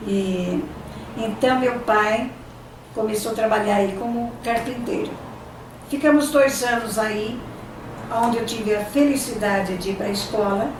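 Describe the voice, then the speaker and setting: An older Brazilian woman, a native Italian speaker, recalls her past at a slow, unhurried pace, halting and restarting as she searches for words. She sounds far from the mic in a strongly echoing room, with faint ambient sound behind her. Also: medium pitch, steady tone, noisy background. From Brazil, São Paulo state. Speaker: interviewee. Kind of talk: oral history reminiscing.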